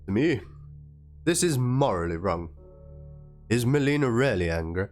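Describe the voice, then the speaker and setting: A man's voice: mid-range voice, expressive, a little slow. A man reading a written document and chat messages aloud in a theatrical manner, as a dramatic narration. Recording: music under the voice. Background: instrumental background music.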